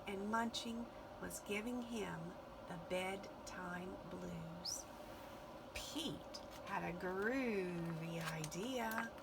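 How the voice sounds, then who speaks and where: Female storybook reader: unhurried, expressive, some vocal fry, noticeable background noise. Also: medium pitch. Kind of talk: expressive children's read-aloud narration.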